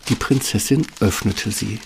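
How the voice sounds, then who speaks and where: A man's voice: fairly low pitch, a little slow, vocal fry, slight background noise, low-quality recording. A man reading scripted fairy-tale narration as the storyteller of an audio play.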